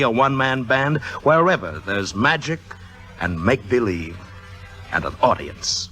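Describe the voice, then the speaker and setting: An actor playing a brash, teasing young theater director in a 1950s Hollywood radio drama, speaking in an emphatic, wry, theatrical way. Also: male voice, medium pitch, bright, forceful tone. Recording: vintage radio recording, music behind.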